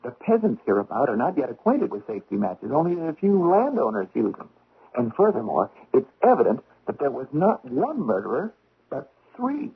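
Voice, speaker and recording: An actor playing an eager, clever investigator in a 1970s radio drama, explaining his deductions in an excitable, pedantic way. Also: medium pitch, normal speed, gravelly, husky, full character voice. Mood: confident. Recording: tinny, faintly noisy vintage radio.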